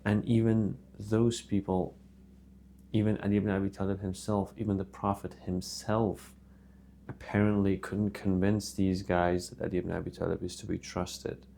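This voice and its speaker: A man with a lowish, gravelly voice gives a religious talk in an earnest, unhurried way. He pauses often and adds reverent honorifics after sacred names. A faint hum sits under the recording. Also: flat delivery, warm tone. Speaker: religious video host. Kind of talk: casual religious monologue to camera.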